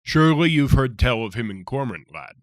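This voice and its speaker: A man voicing a giant, gentle, talkative male soldier who speaks in hearty, rough folksy phrases. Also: mid-range voice, big pitch swings, a little fast, dry recording, pitched above the performer's own voice, natural voice. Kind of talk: audiobook character voice.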